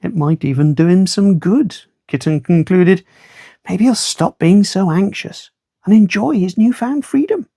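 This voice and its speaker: Narrator reading a kids story, creaky voice, really dry close sound, measured and wry. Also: medium pitch, warm tone, no room sound. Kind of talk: read-aloud children's storytelling.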